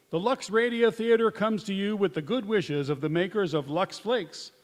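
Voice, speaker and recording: Man playing a high-voiced 1930s radio announcer, echoey hall. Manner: formal, salesmanlike. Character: polished 1930s radio announcer pitching soap flakes. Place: live community theatre stage in church hall. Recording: echoey hall, long reverb.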